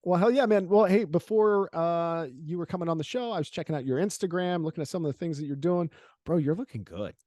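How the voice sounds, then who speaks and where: A man's voice: on the high side, fast, dark tone. A man hosting a podcast, chatting casually and energetically, swearing now and then and laughing often. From Louisiana, USA. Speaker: podcast host. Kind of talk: casual interview chat.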